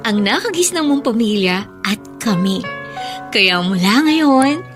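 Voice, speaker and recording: An actress playing a kind, forgiving older sister of school age in a radio drama. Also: female voice, on the high side, lively intonation, a little slow, faint music behind. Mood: warm, hopeful.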